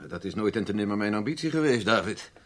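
An actor as a low-voiced, dutiful officer. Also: male voice, lively intonation, fast talker, natural voice. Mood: taken aback. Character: dutiful, modest male senior officer, taken aback. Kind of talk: radio drama acting.

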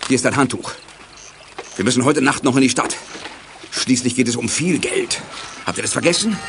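Bossy small-time villain, audio drama. Creaky, animated voice, impatient orders, noisy recording. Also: male voice, medium pitch, moderate pace. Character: bossy, impatient male crook chasing big money. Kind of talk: 1980s children's crime audio play acting.

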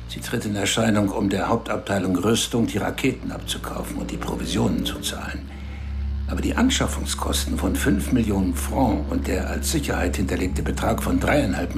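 An actor playing a calm, menacing male spymaster who questions a subordinate in a radio drama. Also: lowish voice, creaky. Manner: calm, cold, interrogating. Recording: studio radio play with music behind.

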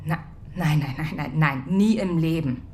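A woman's voice: low-pitched, measured pace, warm tone, slight background noise. An actress playing a worried middle-aged wife and mother who waits for her husband and argues on the phone, in a radio play. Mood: frustrated. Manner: worried, insistent.

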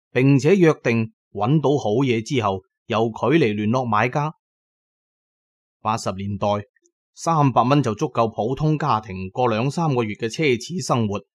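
A male audiobook narrator tells a dramatic tale in a colloquial first-person manner. His pitch sits in the middle range. The sound is dry, with very little room echo. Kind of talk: scripted dramatic storytelling narration.

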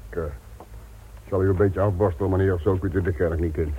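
A man's voice: low-pitched, steady tone, fast, slight background noise, natural voice. An actor playing a loyal, deferential village man in a radio drama, talking in a placating way. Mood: nervous, insistent. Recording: muffled, phone-like old radio recording.

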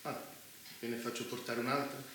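An actor playing the courteous but condescending master of a dystopian bunker, formal and measured in a stage drama. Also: male voice, mid-range voice, flat delivery, sharp tone, close to an everyday voice. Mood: courteous, hosting. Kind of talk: Italian theatre dialogue. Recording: very echoey theatre, faint noise.

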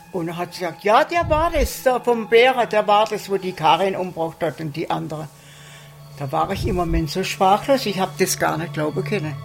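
An older woman with a southwest German accent, recollecting in colloquial speech as an interviewee. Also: mid-range voice. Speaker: interviewee, former hotel worker.

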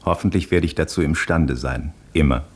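An aristocratic baron in a radio drama. His voice is very low. He speaks with formal, measured courtesy, as a scrupulous and kindly employer would. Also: breathy edge. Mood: formal, considerate. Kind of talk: radio play acting.

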